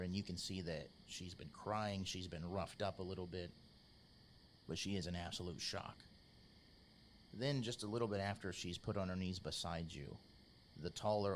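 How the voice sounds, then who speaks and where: A low-voiced man runs a tabletop game. He narrates scenes in an informal, measured storytelling manner, with faint noise behind him. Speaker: game master, podcast host.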